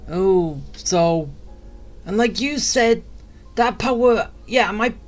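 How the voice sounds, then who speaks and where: A woman's voice: mid-range voice, slow. A woman giving casual gameplay commentary, reacting in short, exclamatory bursts. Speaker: gaming streamer playing through a story quest. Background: game music.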